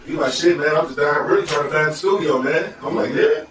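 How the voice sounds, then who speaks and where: A man's voice: fairly high pitch, quick pace, echoey room, distant mic, noisy background. A man hosting a podcast, laid-back and slangy, interjecting as he chats with his guest. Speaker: podcast host. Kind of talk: casual podcast chat.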